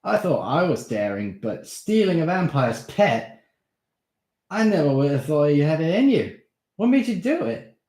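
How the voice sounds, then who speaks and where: A man voicing an excitable, hot-tempered schoolboy who yells in a high, shrill voice. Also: a little slow. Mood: amused. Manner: yelling insults, high-pitched and shrill. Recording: roomy echo.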